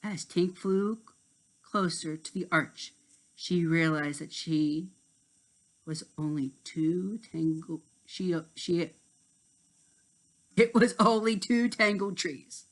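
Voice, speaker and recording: A man's voice: high voice, slow pace, long pauses, poor audio. A man reading a children's story aloud as narrator, now and then stumbling over a word and rereading it. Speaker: storybook reader.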